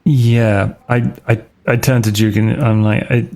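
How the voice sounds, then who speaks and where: A man playing a tabletop role-playing game, talking casually in a hesitant, halting way. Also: mid-range voice, level tone, darkish tone.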